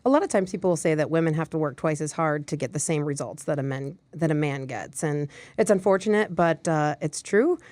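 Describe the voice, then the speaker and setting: Woman guest from Michigan, low voice, dry close sound, earnest explainer. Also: brisk, hardly any pauses, a little mellow, dry recording. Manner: earnest, explanatory, many fillers. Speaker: nonprofit vice president guest. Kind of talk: studio interview.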